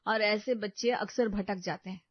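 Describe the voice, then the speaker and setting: A woman acts out a troubled young wife in a cartoon story, serious and weary, full of questions. Her voice sits at a medium pitch in a dry, slightly muffled recording. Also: steady tone, normal speed, no echo, natural voice. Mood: weary, sad. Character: troubled, suspicious young married sister-in-law.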